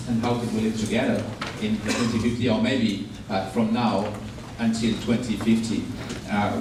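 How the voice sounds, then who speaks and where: A man hosting a conference, introducing the next speaker in a hesitant way with some non-native phrasing. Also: on the low side. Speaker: conference host. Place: lecture hall. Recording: very echoey, distant, noisy room sound.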